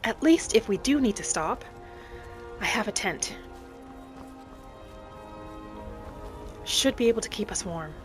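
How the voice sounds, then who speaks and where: A woman's voice: mid-range voice, animated, close to an everyday voice. Reflective female wood elf played by a woman, music behind. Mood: thoughtful, practical. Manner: musing aloud, measured, speaking in short reflective phrases. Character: gentle female wood elf wanderer, reflective and matter-of-fact. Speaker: roleplay gamer narrating in character. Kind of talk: in-character game roleplay monologue. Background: background music.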